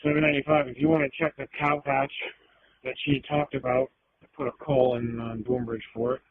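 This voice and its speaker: A man's voice: mid-range voice, flat delivery, a little fast, breathy edge, dry recording. A man working as an emergency dispatcher, relaying a call over police radio. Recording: noisy narrow-band police radio.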